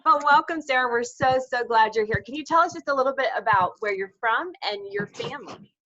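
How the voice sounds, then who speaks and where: A woman's voice: sits high, animated, a little fast, bright, forceful tone, highs cut off. A woman hosting an interview, speaking conversationally with gushing enthusiasm. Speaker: interview host. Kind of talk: conversational introduction and questions.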